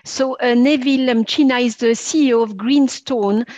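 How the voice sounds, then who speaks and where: A woman's voice: a little high, normal speed, a little mellow, no room sound. Hesitant woman moderating an online session. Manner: hesitant, many fillers. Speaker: webinar moderator. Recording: dull lo-fi online call audio.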